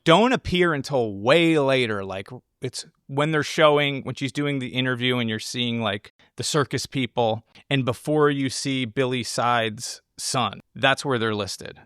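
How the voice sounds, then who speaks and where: A man's voice: mid-range voice, a little slow, few pauses, a bit bright, clear, resonant tone, no room sound. Man in casual film discussion, dry sound. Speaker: podcast host analyzing a film.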